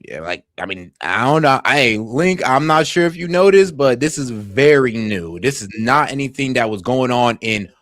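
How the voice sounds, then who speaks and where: A man around thirty in a casual panel chat, animated and skeptical. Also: mid-range voice, dry recording, clean studio sound. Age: 30s. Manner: animated, skeptical, drawn-out emphasis. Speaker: podcast co-host.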